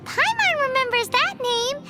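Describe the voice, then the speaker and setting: Squeaky, excitable little fairy sidekick. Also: female voice, a little slow, exaggerated voice. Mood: excited. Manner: squeaky, speaks of self in third person. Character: small excitable female fairy companion, childlike and suspicious. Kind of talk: video game character acting.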